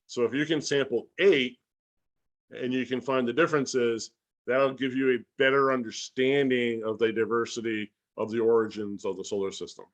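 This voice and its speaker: A man's voice: mid-range voice, moderate pace. Informal man explaining things conversationally. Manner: animated, acts out imagined dialogue. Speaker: guest speaker and science educator. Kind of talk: casual explanatory Q&A talk.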